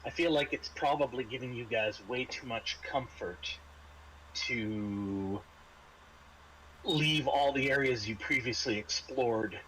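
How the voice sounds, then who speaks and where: A man narrates a tabletop roleplaying game as game master. He talks casually and a little haltingly, with dry understated humour, over a home mic with faint background noise. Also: mid-range voice, pushed and bright, low-quality recording.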